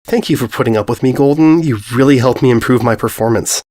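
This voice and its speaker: A man's voice: mid-range voice, fast, a little mellow, close to an everyday voice. Man voicing a breezy, oblivious stallion pony. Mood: breezy, candid.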